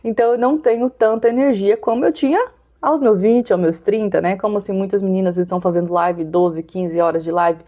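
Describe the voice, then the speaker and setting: A woman's voice: medium pitch, fairly even intonation, a little fast, lo-fi sound. A Brazilian woman in her forties talks casually and openly to her viewers, chatty and confiding, often laughing. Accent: Brazilian Portuguese. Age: 40s. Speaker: vlogger. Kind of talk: casual talk to camera.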